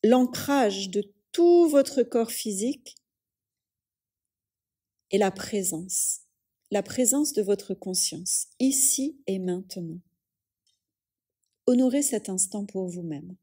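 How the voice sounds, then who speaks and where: A woman leading a guided yoga nidra relaxation as a teacher, speaking calmly and soothingly in measured phrases with long pauses. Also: medium pitch, husky.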